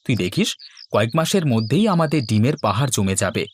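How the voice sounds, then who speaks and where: A man's voice: medium pitch, very animated, quick pace, background noise, natural voice. A man playing an earnest village chicken farmer in scripted cartoon dialogue.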